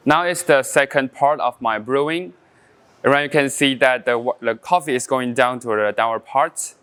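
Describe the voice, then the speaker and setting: Taiwanese barista, mid-pitched and clean-toned, relaxed demo delivery with pauses and fillers. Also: male voice, smooth. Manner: halting non-native English with frequent fillers, explaining step by step. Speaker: coffee brewing champion. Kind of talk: casual brewing demonstration.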